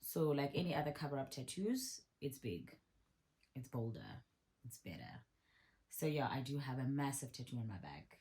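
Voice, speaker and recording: A woman, chatting casually as she answers viewer questions in a vlog. She talks in a relaxed way and repeats herself for emphasis. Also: a bass voice, normal speed. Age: 30s. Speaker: vlogger.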